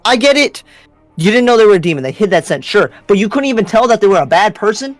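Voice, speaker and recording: A performer playing a stern, suspicious demon slayer in an improvised anime roleplay, making pointed accusations with dramatic pauses. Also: mid-range voice, lively intonation, dry recording, faint music behind, close to an everyday voice. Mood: reproachful.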